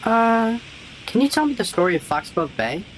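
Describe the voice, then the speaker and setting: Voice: medium pitch, sing-song, moderate pace, close to an everyday voice, low-quality recording. A performer playing an eager, stubborn young grandson, pleading in a fantasy audio drama. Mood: hesitant. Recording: clear background ambience noise.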